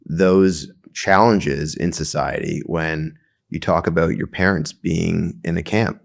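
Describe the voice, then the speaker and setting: Male podcast host, very deep and gravelly, loose halting chat. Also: steady tone. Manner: halting, rambling restarts. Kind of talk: casual conversation.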